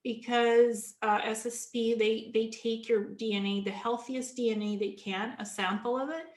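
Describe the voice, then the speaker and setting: A fairly high-pitched woman from Ukraine talks as an interview guest, explaining things earnestly and often restarting mid-sentence. Her voice carries a noticeable echo, as if recorded in a bare room. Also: fairly even intonation, normal speed. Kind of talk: casual interview talk.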